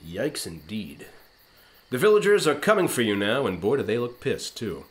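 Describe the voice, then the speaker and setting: Man as brash starship captain, animated intonation, glib, petulant, comedic sci-fi radio drama. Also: medium pitch, moderate pace, close to an everyday voice. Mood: smug. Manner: glib, pompous, hammy. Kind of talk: comedic science-fiction radio play acting.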